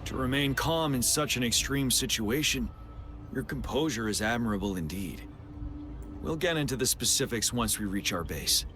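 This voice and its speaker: A man playing a composed, dutiful army general in a game, speaking in a formal, measured, solemn way. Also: mid-range voice, animated, over music, close to an everyday voice. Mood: calm, explanatory. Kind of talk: English game cutscene voice acting.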